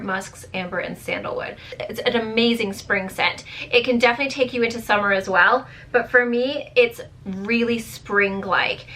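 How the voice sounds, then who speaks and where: A woman reviewing beauty products to camera in a chatty, rambling way, full of fillers, her voice strained and going hoarse. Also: fairly high pitch, pushed and bright. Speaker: beauty vlogger.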